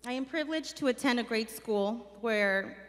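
A woman's voice: fairly high pitch, moderate pace. A young woman from Mexico, a community organizer, earnestly reading a personal speech from notes at a stand mic on a large stage. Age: young adult. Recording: stage mic with long hall reverb.

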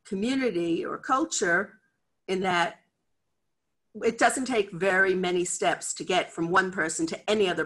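A middle-aged woman with an American accent speaks over a video call from her office. She gives a conference talk in a chatty, candid way. Her voice is bright and forward. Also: lowish voice. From United States. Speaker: head of a library cataloguing department. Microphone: video call webcam.

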